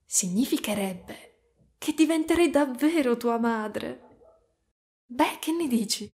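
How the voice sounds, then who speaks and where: A woman voicing a gentle, doting spider-woman caretaker in a soft, cooing ASMR roleplay. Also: on the high side, expressive, slow. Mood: tender. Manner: soft, cooing, tender, sometimes whispering.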